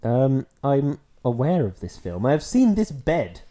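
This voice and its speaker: A man's voice: mid-range voice, very animated, a little slow, warm tone. A man co-hosting a podcast in casual chat, with a hesitant, understated way of reacting. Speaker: podcast co-host.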